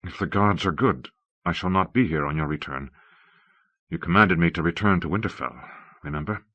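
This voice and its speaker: Principled noble counsellor, audiobook fantasy, played by a male reader: formal, frank, low and crisp-edged, very dry sound. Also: normal speed, a bit bright, no echo, lo-fi sound. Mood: firm, frustrated. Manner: formal, direct. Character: stern, principled lord, the king's frank counsellor. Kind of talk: audiobook character acting.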